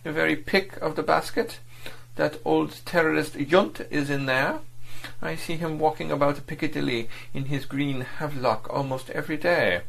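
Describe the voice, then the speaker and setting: A man's voice: a little high, normal speed, gravelly, a bit bright, pitched above the performer's own voice, rough recording. A man voicing a suave, cynical foreign diplomat who mocks and threatens his agent. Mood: menacing. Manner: mocking, sneering, overbearing.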